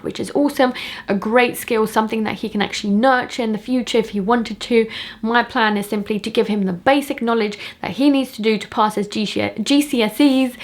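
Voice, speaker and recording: A woman in her thirties talking casually and enthusiastically to viewers as a parenting vlogger. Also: mid-range voice. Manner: chatty, enthusiastic. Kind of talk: casual chatty vlog to viewers.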